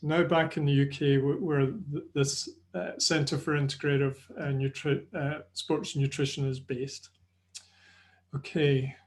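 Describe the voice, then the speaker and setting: A middle-aged Scottish man with a slightly higher voice talks through a webinar from a small indoor room. He speaks on a video call mic in a friendly, chatty way, full of ums and quick restarts. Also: level tone. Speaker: webinar presenter. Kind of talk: live online lecture. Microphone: video call webcam.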